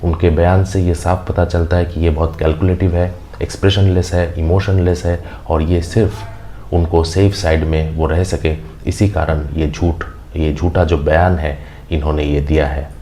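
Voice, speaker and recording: A man's voice: sits low, little pitch movement, normal speed, warm tone. A man with an Indian accent giving opinionated news commentary as a host, emphatic and repetitive. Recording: faint background noise.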